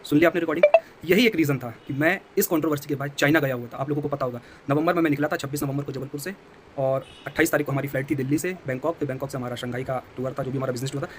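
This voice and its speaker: A man's voice: medium pitch, steady tone, racing. A man vlogging, speaking directly to his viewers and explaining himself. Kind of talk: addressing viewers in a vlog. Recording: faint background noise.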